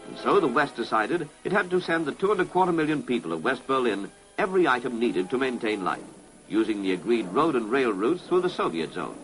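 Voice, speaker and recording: British man narrating a 1960s newsreel, animated pitch. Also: a little fast, low-quality recording. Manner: formal, authoritative delivery. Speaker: documentary narrator. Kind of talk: 1960s public information film narration. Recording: vintage 1960s film soundtrack, music behind.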